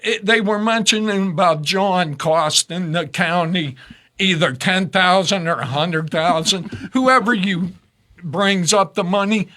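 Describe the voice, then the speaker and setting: A man's voice: high voice, unhurried, pushed and bright. A man hosting a talk radio chat, speaking bluntly and with emphatic indignation. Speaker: radio show host.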